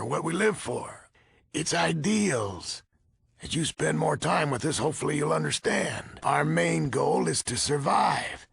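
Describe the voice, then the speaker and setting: Gravelly, gruff old American commander. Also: male voice, fairly high pitch, a bit bright, no echo, exaggerated voice. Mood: earnest, firm. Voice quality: gravelly, raspy. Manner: folksy, blunt, grumbling. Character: gruff, weary old military order leader, blunt. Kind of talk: video game character dialogue.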